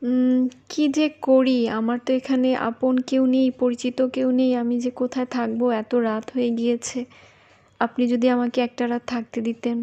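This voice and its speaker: A woman's voice: fairly high pitch, monotone, moderate pace, mellow, lo-fi sound, natural voice. A woman voicing a sweet-talking, scheming young woman in a cartoon, coy and eager. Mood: helpless pleading. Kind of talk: cartoon voice acting.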